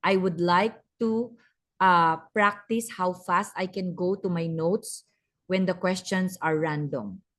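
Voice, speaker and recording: A woman with a Filipino accent coaching a class over a video call, brisk and teasing, mixing English and Tagalog. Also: lowish voice, fairly bright tone. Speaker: communication skills trainer. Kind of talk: online class instruction.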